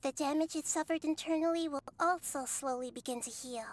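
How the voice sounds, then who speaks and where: A woman voicing a calm, wise young girl goddess in a video game, speaking softly and earnestly. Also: sits high, a little hiss or hum, close to an everyday voice. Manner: soft, measured, earnest.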